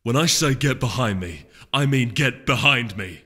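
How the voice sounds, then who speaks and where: Casting: man as irritable storybook Pegasus warrior, curt commands, forceful delivery, hall reverb. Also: medium pitch, expressive, normal speed, breathy edge. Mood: irritated. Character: gruff, irritable big male Pegasus soldier. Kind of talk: audiobook character voice. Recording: echoey hall reverb, music behind.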